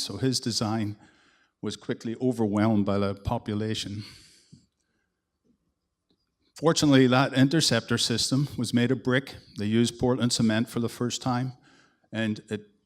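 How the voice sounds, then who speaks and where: A man with a Northern Irish accent giving a conference presentation as an engineer, in a relaxed, conversational way with some restarts. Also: on the low side. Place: conference hall.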